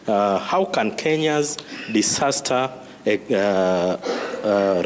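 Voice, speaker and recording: A man's voice: medium pitch, a little hiss or hum. A man in the audience asking a long, formal question at a public event. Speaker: audience member.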